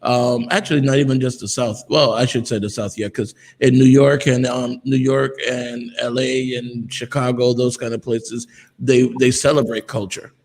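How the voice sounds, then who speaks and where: A male host with a casual, friendly manner who laughs easily and fills pauses with ums. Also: mid-range voice, little pitch movement, a little mellow, long reverb tail. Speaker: host of interview show. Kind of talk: casual interview. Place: South Florida.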